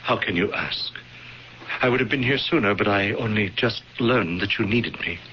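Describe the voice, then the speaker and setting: Radio play casting: man playing courtly villainous high priest, smooth false sympathy, low register, dull old broadcast sound. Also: a little hiss or hum, close to an everyday voice. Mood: courteous, guarded. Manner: measured, courtly, formal. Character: stately, scheming dark-robed priest, smoothly feigning sorrow. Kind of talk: classic radio drama acting.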